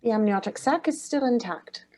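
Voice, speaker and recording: Woman acting a stern older housekeeper, soft-edged tone. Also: a little high, expressive, moderate pace. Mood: solemn, formal. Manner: formal, clipped, solemn. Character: stern, stoical housekeeper woman in her sixties. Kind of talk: scripted table read of a drama.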